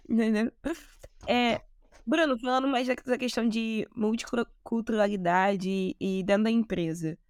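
Brazilian female podcast interviewer, fairly high voice, casual conversational delivery, dry recording. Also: a little slow, a little mellow, smooth, dry recording.

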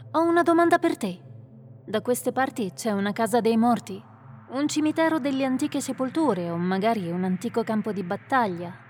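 A woman voicing a calm female companion and healer who leads the group, in an Italian radio drama, speaking in a composed, authoritative way. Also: on the high side, natural voice.

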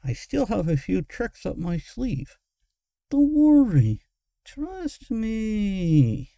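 Guy doing a sneaky wizard trickster for a fantasy audiobook, high mumbly hissy, like he's plotting under his breath. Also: sing-song, unhurried, vocal fry, mellow, slightly rough, dry recording, exaggerated voice. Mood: sly, reassuring. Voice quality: mumbled, hissed whisper. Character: sly, conspiratorial male wizard trickster companion.

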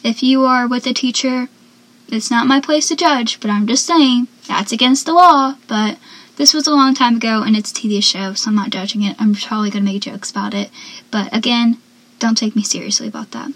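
A seventeen-year-old girl with a soft, young-sounding voice, chatting casually as a podcast host and dropping into whispered asides. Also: a little high, brisk, no room sound. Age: teens. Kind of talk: casual solo podcast chat.

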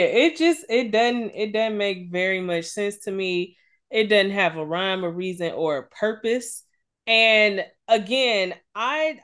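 A woman's voice: medium pitch, a bit bright, clear, resonant tone. A woman co-hosting a casual gossip podcast, blunt and emphatic, with plenty of swearing. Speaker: podcast co-host.

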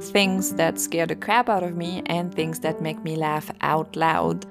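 Lowish French-accented woman, chatty. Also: steady tone, a little slow, music in the background. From France. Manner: chatty, self-deprecating, with frequent fillers. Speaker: podcast host. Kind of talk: casual solo podcast.

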